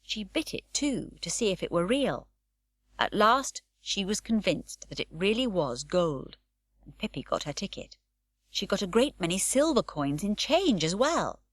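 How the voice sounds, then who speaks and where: A woman narrating an unabridged children's audiobook, with expressive, varied storytelling. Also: mid-range voice, a little slow, dry recording, clean studio sound.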